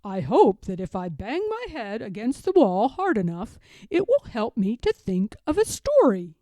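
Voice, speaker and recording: A woman voicing an earnest, gruff toad in a children's storybook, speaking in a deliberate, emphatic way. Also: fairly high pitch, wildly swooping pitch, mellow, dry recording. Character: earnest, gruff toad friend, slow and puzzled. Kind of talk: children's storybook read-aloud character voice.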